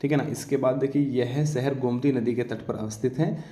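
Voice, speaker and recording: A man's voice: medium pitch, fairly even intonation, moderate pace, mellow. A man giving an informal exam-prep lecture, instructing students with frequent check-in tags and questions he answers himself. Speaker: exam coaching teacher. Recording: echoey room.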